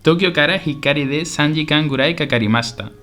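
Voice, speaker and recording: Guy acting as a polite spanish tourist in a learner radio drama, keen, simple sentences, soft music under it. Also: on the high side, moderate pace. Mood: polite, friendly. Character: polite, eager Spanish tourist visiting Osaka. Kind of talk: scripted language-lesson radio drama.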